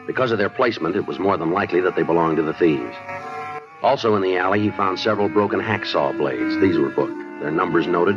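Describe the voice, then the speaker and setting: An actor playing a terse, matter-of-fact police detective in a 1950s radio crime drama. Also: male voice, deep voice, natural voice. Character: clipped, businesslike male police detective narrating his case. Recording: muffled 1950s radio recording with music.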